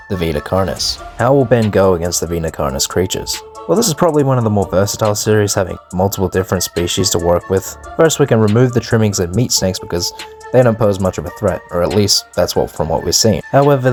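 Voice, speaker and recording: A man's voice: fairly low pitch, darkish tone. A man delivering scripted but casual, opinionated video commentary, full of informal slang and swearing. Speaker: video narrator and host. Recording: music behind the voice. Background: background music.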